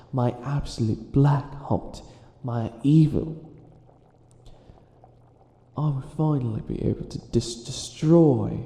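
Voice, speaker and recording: A man's voice: a little high, warm tone, roomy sound, heavily acted. A man playing a theatrical, exasperated wicked witch who fails at being evil, stammering through a comedic roleplay monologue. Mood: grandiose villainy. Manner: exasperated, stammering, melodramatic villain rambling.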